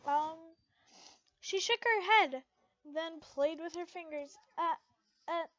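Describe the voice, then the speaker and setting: High-pitched woman reading aloud, slow and halting. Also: fairly bright tone, no room sound, muffled recording. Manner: informal, halting, stumbles over words while reading. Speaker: fan fiction reader, channel host. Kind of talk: casual reading aloud with chatty asides.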